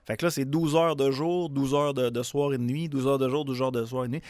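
A man in his forties with a Quebec French accent, co-hosting radio and recounting anecdotes in a relaxed, chatty way. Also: medium pitch, fairly quick, no echo, studio quality. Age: 40s. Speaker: radio host. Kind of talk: casual radio chat.

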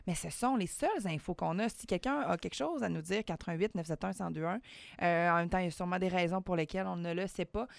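A woman with a Quebec French accent co-hosting a radio show, quick-witted and teasing. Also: fairly low pitch, vocal fry, no room sound. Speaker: radio co-host. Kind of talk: casual radio banter.

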